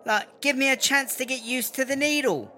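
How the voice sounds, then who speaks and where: A woman's voice: high-pitched, moderate pace, background noise, lightly acted. A woman playing a cocky, defensive, foul-mouthed British inmate in a comedy audio drama, with a slangy, blustering, rambling delivery. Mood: irritated.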